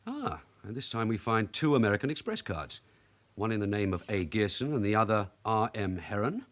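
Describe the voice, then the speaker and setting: A man acting in a radio drama as a shrewd veteran police sergeant with a London accent and a dry, brisk manner. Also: lowish voice, big pitch swings. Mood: firm, sardonic.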